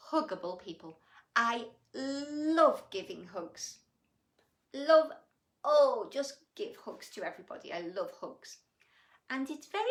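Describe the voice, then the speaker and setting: Female children's storyteller: very animated, unhurried, gushing and affectionate, exclaiming to little listeners, in a roomy recording. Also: mid-range voice. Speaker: children's bedtime story reader and host. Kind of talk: animated storytelling and chat addressed to young children.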